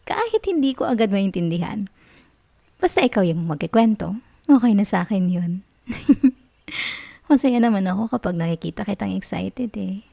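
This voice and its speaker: Woman acting as obsessive young girlfriend, Filipino accent, soft dark-toned voice, dry intimate sound, cooing reassurance, sweetly manipulative, ASMR roleplay. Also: mid-range voice, lively intonation, moderate pace, gravelly, pauses often, no room sound. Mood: playful, affectionate. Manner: coaxing, cooing, giggly reassurance.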